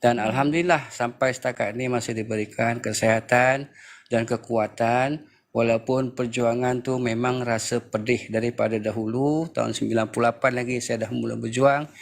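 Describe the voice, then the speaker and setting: A man's voice: medium pitch, fairly even intonation, moderate pace, creaky, a little hiss or hum. A man in his fifties with a Malaysian accent gives informal political commentary, speaking emphatically with repeated phrases and rhetorical questions. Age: 50s. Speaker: panel speaker in a live political discussion room.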